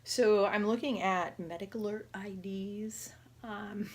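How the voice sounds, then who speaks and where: A woman around forty with a raspy voice, talking casually and haltingly in a personal health vlog. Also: mid-range voice, fairly even intonation. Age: 30s or 40s. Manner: halting, rambling, conversational. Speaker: patient video diarist.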